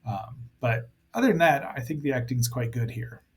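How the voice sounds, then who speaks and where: A man's voice: mid-range voice, normal speed. A man hosting a casual review show, rambling and thinking aloud with playful asides as he comments on a cartoon.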